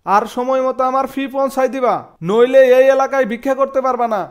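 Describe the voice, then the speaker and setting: A man's voice: extremely high pitch, steady tone, brisk, clear, resonant tone. A man voicing a bossy, crooked beggar chief in a cartoon, pompous and speechifying, with a Bangladeshi accent. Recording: music behind the voice.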